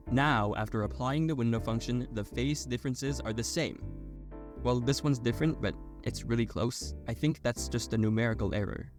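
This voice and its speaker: A man narrating a scripted technical explainer in a clear, step-by-step manner. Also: medium pitch. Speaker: video tutorial narrator.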